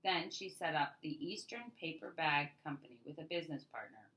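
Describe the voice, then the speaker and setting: A woman with a low voice and a bright, forceful edge reads a children's storybook aloud. Her narration is expressive and scripted, with emphatic openings to phrases that fall away at the end. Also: brisk, rough recording.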